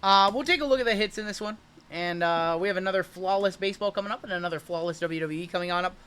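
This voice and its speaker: A man hosting a livestream card break, calling out pulls with hype and jokes, his voice wearing hoarse. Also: sits high, fast talker, a bit bright. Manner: hyped, joking, calls out cards as they are pulled. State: voice going hoarse from shouting.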